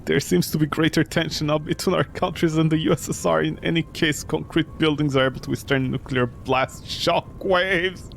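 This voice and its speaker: A man's voice: a little high, fairly even intonation, moderate pace, creaky, few pauses, a little hiss or hum. Man reading as a formal, anxious old woman tenant. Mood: worried, mournful.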